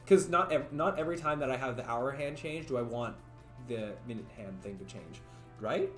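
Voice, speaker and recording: Male streamer, casual think-aloud coder, slightly raspy voice, roomy echo. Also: medium pitch, lively intonation, normal speed. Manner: thinks aloud, rambling, chats with viewers, frequent laughs. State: voice getting raspy from long talking. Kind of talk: casual livestream coding commentary. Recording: roomy echo, faint music.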